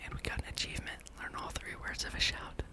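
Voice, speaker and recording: A person whispering a relaxed ASMR gameplay commentary, breathy and rambling, with dry, wry asides. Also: fast. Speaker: host of a gaming ASMR channel.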